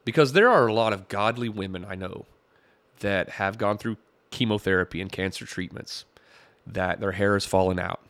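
A man's voice: fairly low pitch, normal speed, a bit bright, dry recording. A man hosting a podcast, talking through a sermon clip in a conversational way and reading Bible verses aloud. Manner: conversational, reads scripture aloud, wry chuckles. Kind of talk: critical commentary on a played clip.

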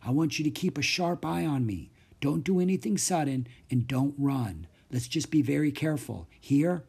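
A man voicing a big, good-humored truck driver in a storybook, with a slow, drawn-out delivery. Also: on the high side, expressive, creaky, darkish tone, breathy edge, full character voice. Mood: watchful.

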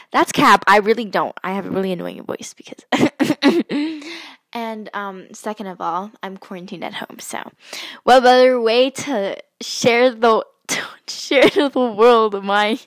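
A teenage girl hosting her first podcast, chatting in a casual, self-mocking way and often giggling. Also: a little high. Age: teens. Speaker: podcast host. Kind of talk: casual chat.

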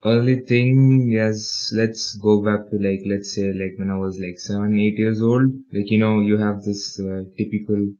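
A man's voice: fairly low pitch, little pitch movement, unhurried, few pauses, mellow. A young man from the UAE, talking casually about his life in an interview, hesitant with many fillers. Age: young adult. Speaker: podcast co-host and interviewee. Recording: dull lo-fi audio.

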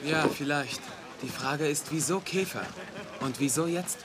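A man's voice: a little high, measured pace, background noise, close to an everyday voice. An actor playing an earnest, wounded young man in a dramatic dialogue scene. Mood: serious. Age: young adult. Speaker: actor in an audio drama or dubbed series.

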